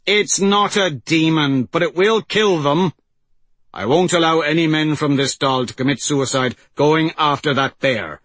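A man's voice: fairly high pitch, expressive, normal speed, fairly bright tone, husky, no room sound, voice pushed up. A man voicing a fierce, forthright woman in an audiobook, speaking urgently and emphatically. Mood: indignant. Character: fierce, indignant woman leading a rescue.

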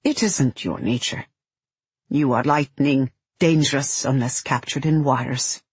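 A woman voicing a big, calm male guardian in an audiobook, pleasant and matter-of-fact with quiet menace. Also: very deep voice, measured pace, husky, no room sound, full character voice. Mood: calm, firm. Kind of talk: audiobook character voice.

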